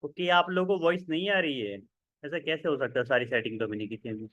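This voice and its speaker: A man presenting a webinar sales pitch, persuasive, keeps checking in with his listeners. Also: fast, fairly bright tone, dry recording. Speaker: online seminar presenter.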